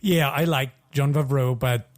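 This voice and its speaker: A man's voice: mid-range voice, fairly even intonation, a little slow. A man co-hosting a podcast in casual chat, with an easygoing, somewhat hesitant way of talking. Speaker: podcast co-host.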